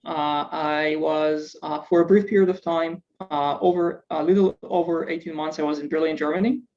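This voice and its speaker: A man's voice: fairly high pitch, fairly even intonation, normal speed, darkish tone, muffled recording, slight background noise. A startup founder from Israel, a man, answering interview questions hesitantly, with many filler sounds. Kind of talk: casual interview over video call.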